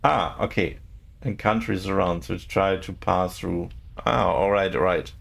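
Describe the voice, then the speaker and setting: Halting, gravelly-voiced man streaming. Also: low voice, fairly bright tone. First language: not English. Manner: halting, searching for words, many fillers. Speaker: game streamer. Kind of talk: casual live-stream chat with viewers.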